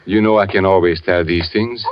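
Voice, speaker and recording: An actor playing a gentle, brooding blind composer who speaks deliberately with haunting pauses in 1940s radio drama style. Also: male voice, deep voice, steady tone, a little hiss or hum, close to an everyday voice. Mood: gentle, concerned. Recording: muffled old radio recording with music.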